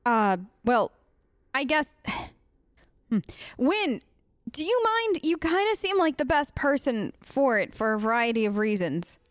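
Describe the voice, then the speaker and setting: A woman's voice: sits high, big pitch swings, a little slow, no room sound, clean studio sound. A woman doing casual, chatty role-play commentary as a let's play host.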